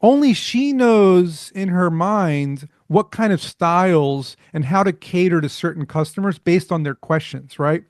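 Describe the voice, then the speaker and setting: A man, a startup founder, talking as a podcast guest in an energetic, fluent way with rhetorical questions. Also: high voice, a little slow, few pauses, dry recording, clean studio sound. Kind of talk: casual interview chat.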